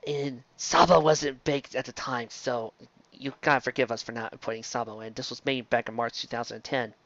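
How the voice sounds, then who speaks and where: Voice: mid-range voice, steady tone, moderate pace, fairly bright tone. A reviewer giving a casual, rambling monologue, digressing often and stumbling into restarts mid-phrase. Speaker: fan fiction reviewer. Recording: dull lo-fi close dry recording.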